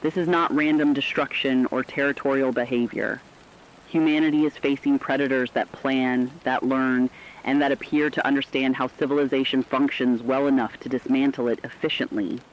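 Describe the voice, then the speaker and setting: A man playing a grave radio news anchor, delivering scripted reports in a serious, measured and formal way. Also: mid-range voice, fairly even intonation, muffled recording, a little hiss or hum, lightly acted. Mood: grave, urgent. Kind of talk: scripted breaking news narration.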